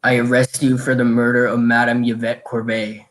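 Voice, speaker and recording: A man's voice: medium pitch, monotone, normal speed, close to an everyday voice, rough recording. A man playing a stern, officious police inspector in an amateur radio mystery play. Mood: stern, accusing.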